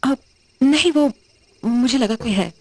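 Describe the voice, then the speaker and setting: Actress as anxious young widow for horror audio drama: high voice, slightly husky, hesitant and questioning. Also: female voice, no echo. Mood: flustered. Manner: hesitant, halting, muttering questions to herself. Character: uneasy, confused young widow in a horror story.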